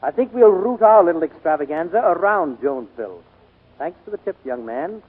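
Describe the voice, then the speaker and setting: Hearty showman colonel, florid and pompous, husky voice, old radio play, long hollow reverb. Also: fairly high pitch, lively intonation, fairly quick. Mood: blustering, genial. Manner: florid, grandiose wording. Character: hearty, pompous colonel running a travelling medicine show. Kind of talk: 1940s radio acting. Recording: hollow, tinny 1940s radio recording.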